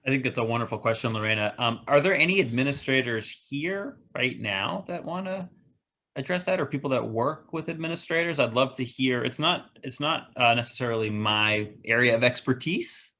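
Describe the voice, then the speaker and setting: Man, presenter, encouraging, moderate pitch, video call. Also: animated, moderate pace, sharp tone. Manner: encouraging, brief acknowledgments. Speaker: presenter answering questions.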